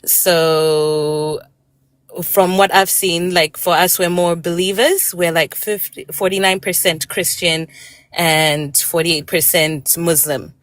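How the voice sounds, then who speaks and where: A low-pitched woman talks haltingly, pausing on fillers and restarting her sentences. She sounds close to a dry, dead phone mic, with no room around her voice. She is a guest in a relaxed live-stream conversation. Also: no echo. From Malawi. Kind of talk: casual discussion.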